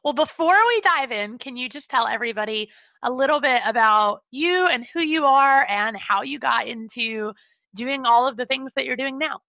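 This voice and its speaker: A woman's voice: high voice, moderate pace, sharp tone, dry recording. A woman hosting a podcast, chatting in an upbeat, gushing way. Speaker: podcast host. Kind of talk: casual interview chat.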